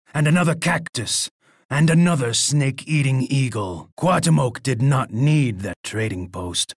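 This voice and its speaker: An actor playing a boastful, menacing Aztec emperor in a video game, with a gravelly, raspy voice and a pompous way of speaking. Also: male voice, mid-range voice, dry recording, studio quality, exaggerated voice. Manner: pompous, speaks of himself in third person. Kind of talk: theatrical video game voice lines.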